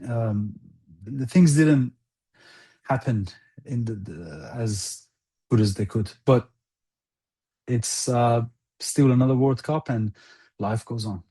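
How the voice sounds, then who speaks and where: Mellow, halting man recalling his past. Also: medium pitch, husky. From outside Iran. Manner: halting, deliberate, repeats phrases for emphasis. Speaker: interview guest, former national football team interpreter. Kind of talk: earnest interview recollection.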